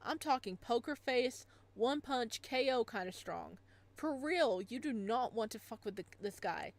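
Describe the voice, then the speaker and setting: A woman reading visual novel lines as a slangy, emphatic young male bar host sharing excited street gossip. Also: fairly high pitch, measured pace. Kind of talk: visual novel dialogue read aloud.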